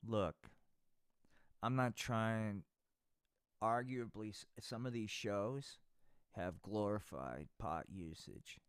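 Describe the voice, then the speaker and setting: A man's voice: fairly low pitch, slow pace, long pauses, clean tone, no echo, clean studio sound. A man narrating an improvised live puppet show, rambling and crude, tossing in "man" often.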